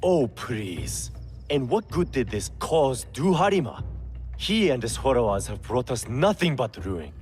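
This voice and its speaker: An actor playing a cynical, scheming smuggler merchant in a video game, suave, sly and mock-polite. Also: male voice, mid-range voice, sing-song, unhurried, darkish tone. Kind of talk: video game cutscene acting. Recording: music faintly behind the voice.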